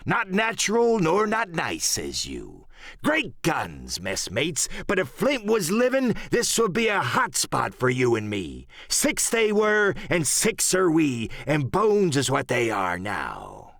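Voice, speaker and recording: A man's voice: a little high, wildly swooping pitch, slow pace, creaky, a bit bright, no room sound, studio quality, voice pushed up, full character voice. A man voicing a wily, commanding pirate ship's cook in an audiobook, with a salty, theatrical sailor's drawl. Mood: ominous. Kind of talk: audiobook character acting.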